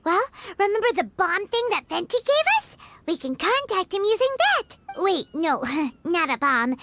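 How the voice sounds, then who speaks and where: Squeaky, bossy little fairy sidekick. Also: female voice, fairly bright tone, exaggerated voice. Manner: squeaky, exclamatory, speaks of self in third person. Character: tiny excitable childlike female fairy companion, bossy and chatty. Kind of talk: video game voice acting.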